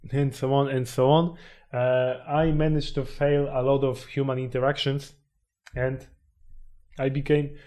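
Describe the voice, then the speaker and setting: A man's voice: medium pitch, a little mellow. Hesitant young man, self-help vlog. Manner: hesitant, many fillers and restarts. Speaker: YouTuber.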